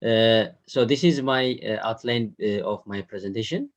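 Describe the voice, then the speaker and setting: A man in his thirties from Ethiopia giving a slide presentation on a video call, pausing often on "uh" fillers. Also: on the low side. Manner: hesitant, many "uh" fillers. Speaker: webinar presenter.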